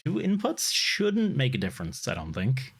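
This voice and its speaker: A man's voice: mid-range voice, wildly swooping pitch, moderate pace, breathy edge. A man streaming a game live, chatting casually, swearing freely and laughing often.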